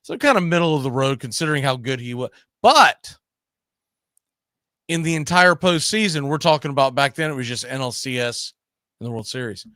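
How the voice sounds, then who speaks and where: A man's voice: mid-range voice, expressive, a little fast, sharp tone, no echo, clean studio sound. A man hosting a casual baseball talk show, conversational and often checking in with tag questions. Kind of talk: casual sports discussion.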